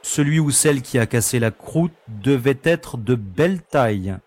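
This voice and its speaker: A man's voice: mid-range voice, lively intonation, measured pace, a little mellow, breathy edge. An actor playing a blunt, skeptical male starship pilot who talks in an incredulous, casual way. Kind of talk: audio drama acting.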